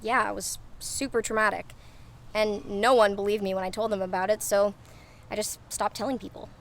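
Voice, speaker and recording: A woman's voice: medium pitch, little pitch movement, moderate pace, slight background noise, close to an everyday voice. An actress playing a wary, candid young American woman from a small town in an audio drama, in a conversational style. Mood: candid, aggrieved. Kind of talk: naturalistic audio drama dialogue.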